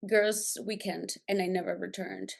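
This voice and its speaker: Lively Colombian woman, quick fragments. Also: mid-range voice, creaky. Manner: lively, laughs often, talks in quick fragments. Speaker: podcast guest, business founder. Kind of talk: casual interview chat. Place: remote call from a jungle location.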